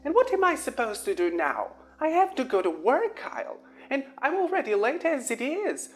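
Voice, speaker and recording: A woman's voice: sing-song, moderate pace. A woman putting on a flustered teenage witch. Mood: exasperated. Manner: high-strung, theatrical.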